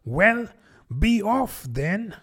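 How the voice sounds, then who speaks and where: A man voicing a sleepless, indignant pigeon that scolds and accuses in a shrill, contemptuous manner, in an audiobook. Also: unhurried. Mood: scornful. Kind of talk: audiobook character voice.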